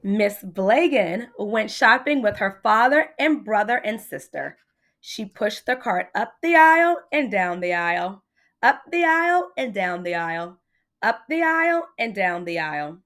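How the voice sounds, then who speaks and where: Female storyteller, teacher type, animated read-aloud delivery, talks straight to the kids. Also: medium pitch, measured pace. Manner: addresses child listeners directly, with questions. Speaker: teacher reading a picture book aloud.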